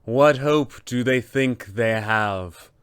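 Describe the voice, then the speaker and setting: A man voicing a haughty, cold winter goddess villainess with slow, grandiose, menacing delivery. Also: medium pitch, clear, resonant tone, dry recording, clean studio sound, close to an everyday voice. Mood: imperious menace.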